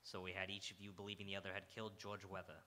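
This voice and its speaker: A man's voice: low-pitched, completely flat delivery, racing, sharp tone. A man playing a blunt, world-weary Broadway detective in an old-time radio crime drama, with terse, dramatic delivery on a live stage. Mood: grim. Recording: live stage recording with faint noise.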